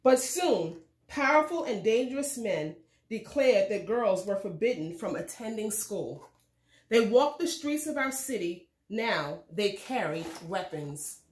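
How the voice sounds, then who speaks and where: A woman reading a children's storybook aloud in a measured, expressive way. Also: medium pitch, roomy sound, a little hiss or hum. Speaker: read-aloud host reading a children's book. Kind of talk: scripted storybook narration for children.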